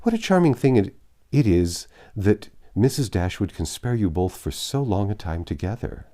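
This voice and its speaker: A man's voice: fairly low pitch, big pitch swings, moderate pace, dark tone, voice pushed up. A man voicing a gushing, insincere young woman with emphatic, effusive delivery in a storybook reading. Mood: needling.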